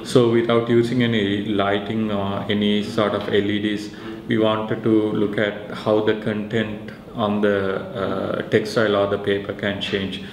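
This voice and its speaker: A man with a Sri Lankan accent explains his work in a hesitant, informal way. His voice is on the low side with very flat intonation, recorded in an echoey room. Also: measured pace. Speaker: researcher and maker presenting a project. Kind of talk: informal explanatory interview talk. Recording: echoey room with faint background noise.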